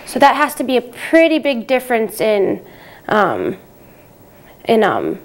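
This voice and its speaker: Higher-pitched young woman interviewer. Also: wildly swooping pitch, measured pace. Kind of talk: prepared but conversational interview questions.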